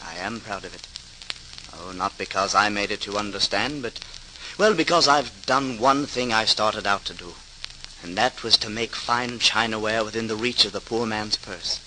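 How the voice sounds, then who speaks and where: An actor playing a modest, earnest and dedicated potter in an old-time radio drama, on a noisy vintage recording. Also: male voice, on the low side, normal speed, sharp tone. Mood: humble, earnest.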